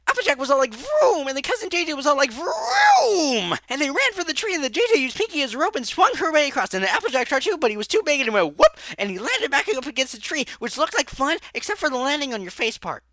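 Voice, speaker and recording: Woman voicing a high, hyper boy pony. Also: quick pace, hardly any pauses, no echo, full character voice. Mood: hyper, thrilled. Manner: bubbly, rambling, excitable. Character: perky, hyper, scatterbrained young male pink cartoon pony. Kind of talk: fan audio drama acting.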